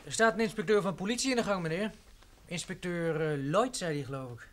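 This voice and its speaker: An actor playing a deferential, flustered manservant with a hesitant manner in an old radio play. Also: male voice, high voice, brisk, close to an everyday voice. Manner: stammering, deferential. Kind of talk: vintage Dutch radio play acting. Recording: old radio recording, faint noise.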